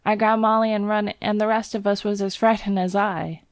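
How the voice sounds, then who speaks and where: A woman's voice: mid-range voice, flat delivery, normal speed, no room sound, studio quality, voice pushed up, natural voice. A woman doing a nervous Cockney bellboy. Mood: uneasy. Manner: dropped h's, deferential, keeps saying sir. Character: young Cockney bellboy, former soldier, nervous.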